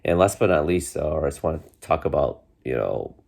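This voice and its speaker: Deep-voiced man, forties, stuffy and congested, rambling casual chat, home vlog. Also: animated, brisk, creaky, darkish tone. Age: 40s. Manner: rambling, many restarts and fillers. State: congested, getting over a cold. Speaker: vlogger. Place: bedroom, indoors.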